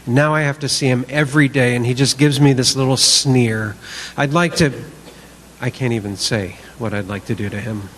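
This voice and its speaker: A man's voice: medium pitch, steady tone, moderate pace, fairly bright tone, slight background noise, close to an everyday voice. A man performing a dramatic monologue as a bitter, sleepless man who obsesses over a grudge, aggrieved and sarcastic. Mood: brooding resentment. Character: bitter, sleepless man brooding over a feud with his neighbour. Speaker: reader in a church service skit.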